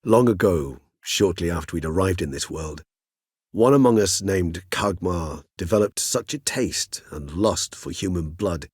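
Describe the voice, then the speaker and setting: An actor playing a courteous, erudite, world-weary centuries-old vampire in a video game, speaking in measured, eloquent, formal phrases. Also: male voice, deep voice, darkish tone, breathy edge, no room sound, studio quality. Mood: measured, scholarly. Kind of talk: video game character dialogue.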